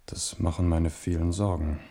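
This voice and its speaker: A man's voice: low voice, level tone, moderate pace, warm tone, a little hiss or hum. An actor playing a proud, careworn French king in a German radio play, declamatory, commanding and ironic. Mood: grave, insistent.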